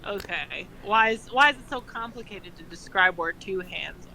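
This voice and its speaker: A woman's voice: mid-range voice, moderate pace, bright, forceful tone, low-quality recording. A woman reading fan fiction aloud in a mock dramatic style, breaking off into casual, incredulous commentary with some swearing. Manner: incredulous, mocking, swears freely.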